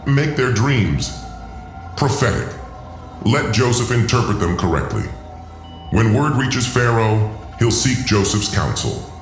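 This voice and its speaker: Man acting imperious scheming alien overlord, deep and echoing. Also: very animated, unhurried, long pauses, music in the background. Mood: commanding, calculating. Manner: commanding, contemptuous. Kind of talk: science fiction audio drama acting.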